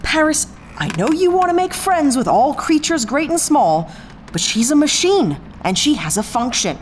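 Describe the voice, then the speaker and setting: A woman's voice: sits high, very animated, moderate pace, a little hiss or hum. A woman playing a no-nonsense spaceship captain in a sci-fi audio drama, dry, bossy and sarcastic. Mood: exasperated. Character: brisk, no-nonsense female spaceship captain, sardonic and exasperated.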